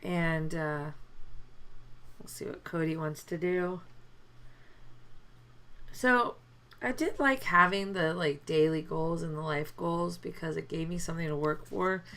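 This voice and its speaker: Gravelly-voiced woman narrating a mobile game. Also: low-pitched, unhurried, pauses often, a bit bright. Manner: chatty, mildly complaining, lots of fillers. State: deepened voice from a chest cold. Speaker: let's play gamer. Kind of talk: casual gameplay commentary.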